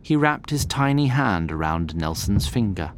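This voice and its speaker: A man narrating a scripted fantasy tale in a theatrical, deliberate and wry manner. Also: fairly low pitch, very animated, a little hiss or hum.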